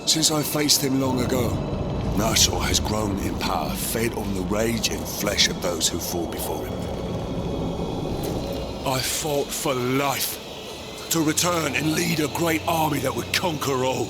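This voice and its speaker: An actor playing a proud, bitter, battle-worn undead warrior in a video game, speaking in a dramatic, brooding way. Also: male voice, medium pitch, lively intonation, unhurried, natural voice. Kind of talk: video game cutscene acting. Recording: loud cinematic music behind.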